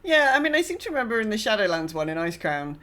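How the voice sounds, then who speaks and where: Woman, middle pitch, casual podcast chat, informal, loosely phrased. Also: big pitch swings, very fast, bright, forceful tone. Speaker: podcast co-host.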